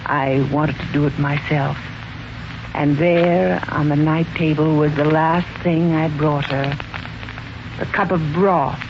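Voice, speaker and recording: An actress playing a housemaid in a 1940s radio mystery, deferential on the surface but pointed and quietly suspicious. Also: female voice, low voice, steady tone, takes it slowly. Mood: suspicious, insinuating. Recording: muffled, noisy 1940s radio recording.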